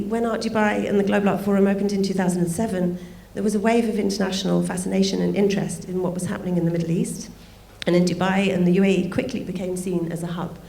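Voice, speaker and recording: A woman with a croaky voice giving a welcome speech at an event, with light asides as she thanks people. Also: mid-range voice, warm tone. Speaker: art fair director opening a forum. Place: event venue. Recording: roomy hall sound, faint noise.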